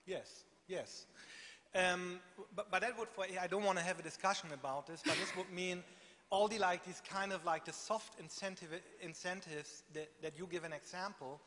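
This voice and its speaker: Man, conference moderator, chatty and animated, bright forceful voice, long hall echo. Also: sits high, normal speed, slight background noise. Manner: animated, chatty, laughs, uses "like" a lot. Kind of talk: live Q&A moderation.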